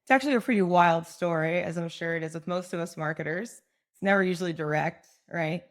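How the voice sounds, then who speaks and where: A woman's voice: fairly low pitch, quick pace. An American woman in her forties from the Midwest, chatting casually and self-deprecatingly as a podcast guest. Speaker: podcast guest, marketing professional. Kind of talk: casual storytelling in an interview.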